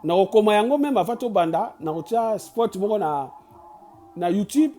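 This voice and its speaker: Urging Congolese host, mellow voice. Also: mid-range voice, expressive, moderate pace, soft background music. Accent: Congolese French. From Kinshasa, Congo. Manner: preachy and urging, with frequent restarts and stammers. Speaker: channel host. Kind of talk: impassioned address mixing Lingala and French.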